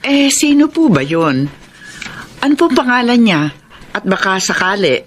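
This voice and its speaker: A woman's voice: medium pitch, very animated, measured pace, a little hiss or hum. An actress playing a gracious, composed middle-aged stepmother in a Filipino radio drama. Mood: inquiring.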